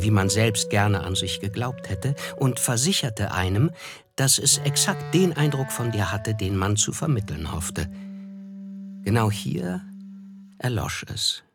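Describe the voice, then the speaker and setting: Reflective young narrator in a radio drama, kinda deep, measured, music playing behind. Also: male voice. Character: reflective, polite young man recounting his memories. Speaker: narrator and character.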